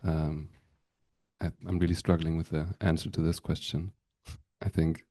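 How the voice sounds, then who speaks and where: A young man, a student guest, answering haltingly and repeating words. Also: rumbling low, flat delivery, dark tone. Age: young adult.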